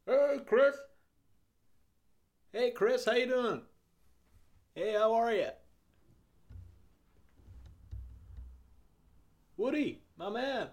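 A man voicing a breezy, chummy bloke in breathy takes of casual greetings for an audio comedy. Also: very high voice, animated, slow pace, darkish tone, voice pushed up, full character voice. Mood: chummy, bright. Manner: matey, repetitive, casual greetings.

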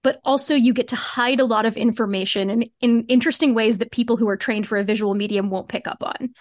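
High-voiced woman explaining her creative craft. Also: steady tone, quick pace, no room sound, studio quality. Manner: explanatory, informal, peppered with "like" and "cool". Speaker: podcast guest, audio drama co-creator. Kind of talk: casual interview conversation about craft.

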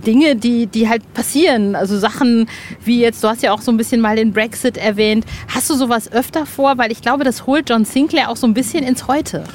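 A woman's voice: fairly high pitch, fairly quick, a little mellow, a little hiss or hum. A woman hosting a podcast, asking questions in an enthusiastic, informal way. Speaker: podcast host, interviewer. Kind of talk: casual interview chat.

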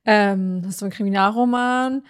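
A woman's voice: medium pitch, moderate pace, clean tone. A woman chatting casually as a podcast co-host, telling anecdotes in a colloquial, rambling way with quoted bits of dialogue. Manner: colloquial, self-quoting storytelling.

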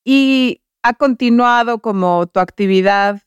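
A woman's voice: a little high, measured pace, clear, resonant tone, dry recording, highs cut off. A woman hosting a casual interview in an engaged, informal, affirming way. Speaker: podcast host, interviewer.

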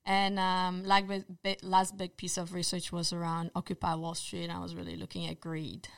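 A woman from Poland with a Polish accent, a social psychologist talking as a guest in a relaxed, thoughtful way. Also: fairly low pitch, gravelly. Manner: thoughtful, measured, with fillers and restarts. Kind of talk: casual conversation.

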